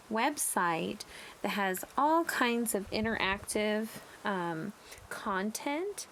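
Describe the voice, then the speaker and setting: A woman narrator reading aloud to young children in a deliberate, expressive storytelling manner. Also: medium pitch, a little hiss or hum, poor audio. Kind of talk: expressive read-aloud of rhyming picture book.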